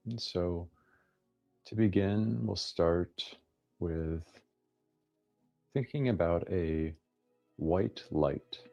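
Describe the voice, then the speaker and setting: Deep, slow-paced man guiding meditation. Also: muffled recording. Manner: unhurried phrasing with long pauses, gently inviting. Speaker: guided meditation teacher. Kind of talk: guided meditation, soft instructional narration.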